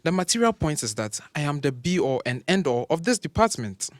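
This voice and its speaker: Man playing an arrogant older surgeon, condescending, very animated delivery, dry close sound. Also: on the high side, brisk, dry recording. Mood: boastful, scornful. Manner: grandiose, condescending. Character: pompous, arrogant older male surgeon professor and father. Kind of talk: audio drama acting.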